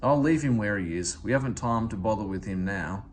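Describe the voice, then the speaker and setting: A man voicing a brisk, dismissive railway controller in a children's story. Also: on the low side, expressive, slight background noise, lightly acted.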